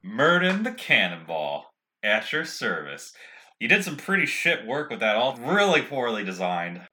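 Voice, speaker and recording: A man's voice: mid-range voice, big pitch swings, measured pace, pushed and bright. A man improvising casually as a player in a tabletop role-playing game, slipping in and out of character with colloquial, exasperated talk.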